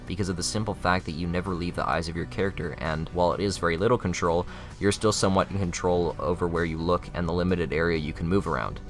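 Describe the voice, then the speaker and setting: A man's voice: low voice, fairly bright tone. A young adult man reading scripted narration for a video essay.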